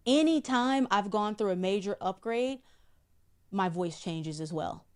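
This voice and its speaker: A middle-aged woman, a psychic guest in an interview, explaining in a measured way and often repeating a word while she finds the next. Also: medium pitch, lively intonation, a little mellow, clean tone. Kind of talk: spiritual interview talk.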